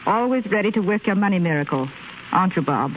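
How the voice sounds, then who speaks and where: An actress playing a practical female nurse who speaks in a calm, matter-of-fact, slightly teasing way. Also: mid-range voice, fast talker, slight background noise, close to an everyday voice. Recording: tinny 1930s radio broadcast, voice off-mic.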